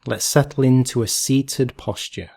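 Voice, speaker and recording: A man leading a guided meditation in a calm, gentle, measured way, leaving long pauses between phrases. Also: medium pitch, gravelly.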